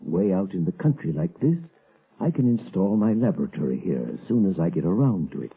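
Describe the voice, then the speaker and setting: An actor playing a sly, eccentric inventor husband with a husky, theatrical, mock-genteel delivery in old radio style. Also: male voice, deep voice, sing-song, brisk, exaggerated voice. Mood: musing, menacing. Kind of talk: 1930s radio acting. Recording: tinny old radio, music behind.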